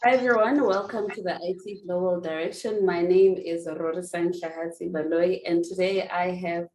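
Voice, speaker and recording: A woman's voice: on the low side, fairly even intonation, normal speed, darkish tone, soft background music. A woman hosting an online panel discussion, upbeat and enthusiastic as she introduces guests. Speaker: host. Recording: echoey room, faint background noise.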